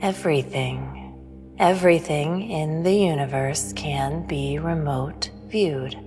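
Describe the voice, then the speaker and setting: An actress playing an enigmatic, all-knowing otherworldly visitor in a sci-fi audio drama, speaking in a calm, measured, aloof way. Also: female voice, sits low. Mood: serene. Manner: measured, matter-of-fact. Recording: long reverb, music behind. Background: music.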